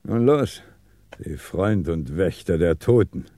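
Low-voiced, shrewd police commissioner. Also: male voice, animated, dark tone, slight background noise. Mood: triumphant, stern. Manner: measured, authoritative, dryly ironic. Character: male police commissioner, calm, shrewd, commanding detective. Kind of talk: 1960s German radio crime drama acting.

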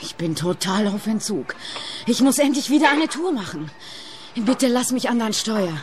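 A woman's voice: fairly high pitch, animated, moderate pace, natural voice. An actress playing a passionate, defiant woman who longs to drive trucks, dramatic in radio-play style. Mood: defiant, yearning. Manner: impassioned, dramatic. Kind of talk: German-language radio play acting. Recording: clear ambient background noise.

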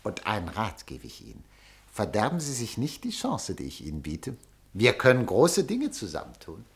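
A man's voice: fairly low pitch, huge pitch swings, normal speed, gravelly, exaggerated voice. An actor playing a pushy, scheming male newspaper director who presses and persuades insistently, in an old German radio play.

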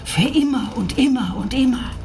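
A stern older grandmother, firm and insistent, slightly high voice, music under it. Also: normal speed, vocal fry. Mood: ominous. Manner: stern, insistent, hushed storytelling. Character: stern grandmother telling eerie tales. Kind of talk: radio drama acting.

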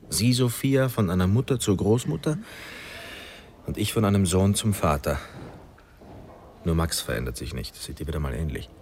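An actor playing a brooding expectant father in a German radio drama, husky and weighing his words. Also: low-pitched, a little mellow, slight background noise. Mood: solemn, moved. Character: brooding expectant father facing a grave decision.

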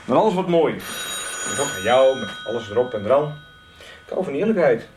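A man playing a smooth-talking, pushy and flirtatious sales manager in a radio soap, with a slick, familiar manner. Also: medium pitch, sing-song, fairly quick, natural voice. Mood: flirtatious, teasing. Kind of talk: 1990s Dutch radio soap acting. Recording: roomy, off-mic, noisy radio drama scene.

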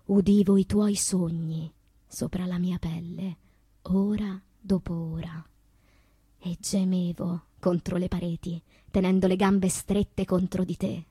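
An actress playing a sensual, unashamed woman poet in a deliberate, intimate theatrical monologue about her inner life. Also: lowish voice, little pitch movement, warm tone, slightly rough, no room sound, studio quality, heavily acted. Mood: intimate, frank. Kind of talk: theatrical literary monologue with sung passages.

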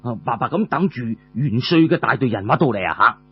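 A man's voice: medium pitch, animated, fairly quick, highs cut off, a little hiss or hum, pitched below the performer's own voice, natural voice. A man voicing an eager, hot-headed young warrior who stammers and wheedles as a pleading younger brother. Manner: stammering, repeating words, wheedling.

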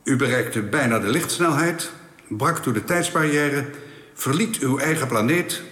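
A man playing a composed, formal official of an alien planet in a science fiction radio drama, speaking in a measured, matter-of-fact way. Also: mid-range voice, animated, a bit bright. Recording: echoey hall-like reverb.

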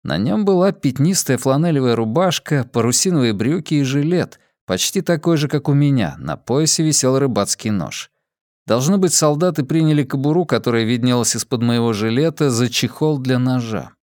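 A man's voice: medium pitch, lively intonation, moderate pace, a little mellow, no room sound, studio quality. A man reading a first-person novel as a scripted audiobook narration.

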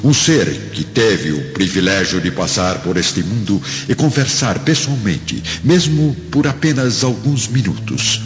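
Deep, slow Brazilian male narrator. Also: very animated. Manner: solemn, theatrical delivery with weighty pauses. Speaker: narrator of a radio drama. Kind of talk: dramatic scripted narration. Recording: dull lo-fi, long hall reverb. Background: prominent background music.

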